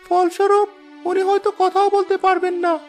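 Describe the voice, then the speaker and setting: A performer playing an apologetic, formal royal physician in an animated fairy tale. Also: extremely high pitch, fairly quick, faint music behind. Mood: regretful.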